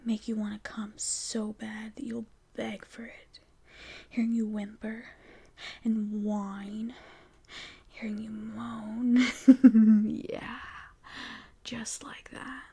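A woman speaking in a soft, teasing whisper for an intimate audio roleplay, slow and coaxing. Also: fairly high pitch, level tone, vocal fry, slightly rough.

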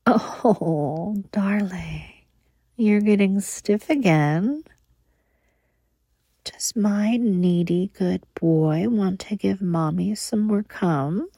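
A woman voicing a doting, protective motherly lover, murmuring close to the mic in a dark, mellow voice. Her soft whispers come out husky and edged with fry, and she lingers on every word at an unhurried crawl. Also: mid-range voice, no echo, exaggerated voice. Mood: soothing, doting. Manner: soft, cooing, often whispered. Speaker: audio roleplay performer. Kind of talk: intimate whispered audio roleplay.

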